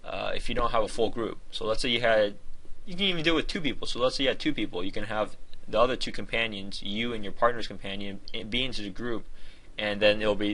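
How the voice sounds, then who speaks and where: Bright, forceful man reviewing games. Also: on the low side, poor audio. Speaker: game reviewer. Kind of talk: informal spoken game review.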